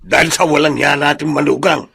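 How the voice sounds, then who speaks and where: An aggrieved old Filipino father-in-law in a radio drama. His high, forceful voice is rough and gravelly, full of protective indignation. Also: fairly even intonation, fairly quick, full character voice. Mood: agitated. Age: older.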